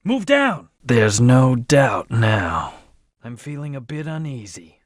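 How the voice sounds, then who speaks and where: A man's voice: mid-range voice, expressive, takes it slowly, no room sound, clean studio sound. A man playing a brooding, proud young antihero in a video game, speaking in terse, serious commands with a hard edge. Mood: grave, musing. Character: brooding, proud, amnesiac male antihero warrior. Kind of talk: short in-game hint and battle lines.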